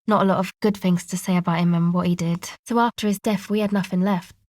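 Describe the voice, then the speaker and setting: A woman's voice: fairly low pitch, steady tone, fast, a little mellow, no room sound, close to an everyday voice. An actress playing a guarded, laid-back young woman who leads a streetwise crew in a video game, speaking casually with dry understatement. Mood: somber, flat. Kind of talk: video game dialogue acting.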